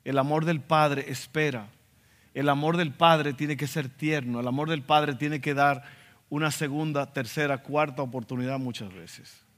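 Dominican pastor preaching in a reverberant hall. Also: male voice, a little high, fairly even intonation, normal speed, fairly bright tone. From Dominican Republic. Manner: emphatic preaching, quotes others in acted asides. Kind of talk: sermon. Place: church sanctuary.

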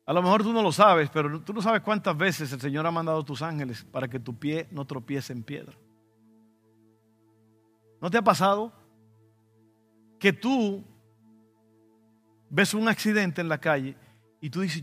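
Man, pastor, Dominican Spanish accent, storytelling sermon, emphatic, sharp bright tone, soft music underneath. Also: on the high side. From Dominican Republic. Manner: conversational preaching with personal stories, rising to emphatic bursts.

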